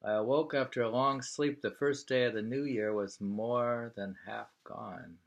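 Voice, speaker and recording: A man reading aloud from a book in an unhurried, musing way, with casual conversational asides. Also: mid-range voice, vocal fry. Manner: unhurried, musing, pausing to hum and remark. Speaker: reader of a travel book and poems, commenting.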